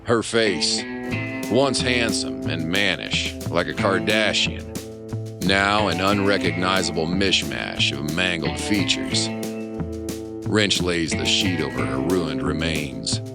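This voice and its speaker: A man playing a melodramatic hardboiled serial narrator, grave and mock-heroic, with a gravelly voice. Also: low voice, expressive, slow, exaggerated voice. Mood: grim. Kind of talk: pulp radio-serial narration. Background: guitar music.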